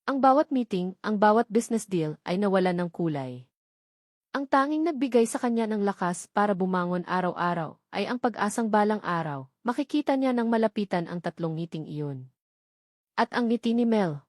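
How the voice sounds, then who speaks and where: A woman's voice: mid-range voice, lively intonation, normal speed, a little mellow, dry recording, clean studio sound. A woman with a Filipino accent reads a scripted romance story as a dramatic audiobook narration.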